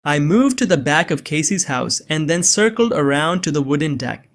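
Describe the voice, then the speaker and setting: A man playing a nerdy, anxious young man on the run, narrating a suspense story in a measured, earnest way. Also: on the high side, very animated. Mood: tense, measured. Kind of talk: first-person scripted narration of suspense fiction. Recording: music behind the voice.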